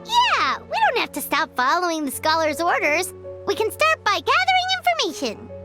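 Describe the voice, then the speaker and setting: A woman's voice: wildly swooping pitch, normal speed, exaggerated voice. A woman voicing a tiny, chatty female companion who refers to herself by name, in a game. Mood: chirpy. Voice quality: high squeaky childlike voice. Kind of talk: game voice acting. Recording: game audio with music behind.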